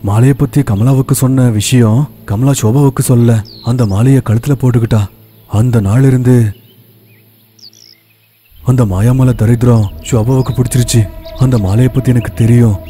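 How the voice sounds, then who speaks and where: A man playing a poor, regretful young husband who tells his tale in a measured, earnest way for an animated bedtime story. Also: medium pitch, slightly rough, lightly acted.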